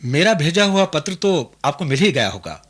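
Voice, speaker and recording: An actor playing a polite, humble visiting minister in a radio drama, formal and slightly hesitant. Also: male voice, on the high side, sing-song, moderate pace, a bit bright. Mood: humbly polite. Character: deferential, polite visiting minister seeking a match. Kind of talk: Hindi radio drama acting.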